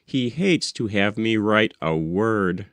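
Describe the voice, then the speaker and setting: A man reading a first-person literary story aloud as an audiobook narrator, with measured, deliberate phrasing. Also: lowish voice, lively intonation, fairly bright tone, no room sound. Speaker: audiobook reader.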